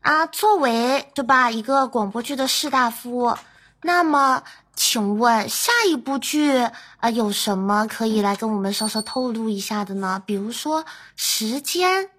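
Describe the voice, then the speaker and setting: High-pitched woman hosting playful chat. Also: animated, measured pace, a bit bright. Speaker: podcast co-host. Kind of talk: playful casual podcast chat.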